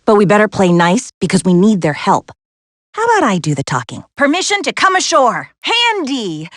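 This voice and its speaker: A woman voicing a spirited, capable young woman adventurer in an animated video game, with an American accent and a wry, sassy, instructive way of talking. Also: mid-range voice, moderate pace, dry recording, studio quality. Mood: wry, businesslike. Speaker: video game character voice actress.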